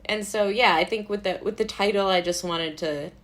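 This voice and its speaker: A woman's voice: medium pitch, moderate pace, fairly bright tone. An American woman from Brooklyn talking casually as an interview guest, informal, rambling, amused and self-deprecating. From Brooklyn, United States.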